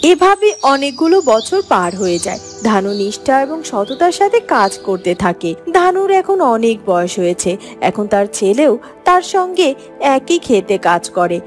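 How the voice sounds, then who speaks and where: High-voiced woman narrating a fairy tale. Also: sing-song, a little slow. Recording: music under the voice.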